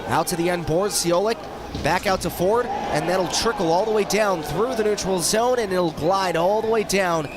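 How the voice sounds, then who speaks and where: A man calling hockey play-by-play, sounding nasal from a head cold, with a rapid running commentary that rises on shots. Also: high voice, a crowd in the background, background noise. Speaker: play-by-play announcer.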